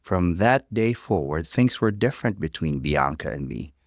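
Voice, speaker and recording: Expressive male story narrator, dry close recording. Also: low voice, normal speed, no room sound. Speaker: narrator reading stories.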